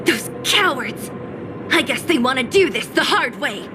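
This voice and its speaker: A bold young woman fighter in a video game. Her fairly high voice is expressive and direct, set against loud music. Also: unhurried. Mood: bold, wary.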